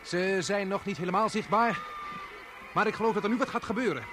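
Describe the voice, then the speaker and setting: A man's voice: high-pitched, fairly quick, noisy background, close to an everyday voice. An actor playing a chatty, showy bystander who talks in salesman-like patter and commentary in a radio play. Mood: excited announcing. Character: chatty, showy bystander man turned excited event commentator. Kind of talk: Dutch radio play acting.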